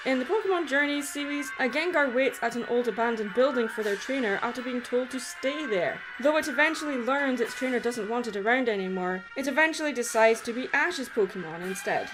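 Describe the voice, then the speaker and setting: A woman with a fairly high voice narrates a scripted video essay over clear background music. Her delivery is expressive and drops into conversational asides. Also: brisk, hardly any pauses, rough recording.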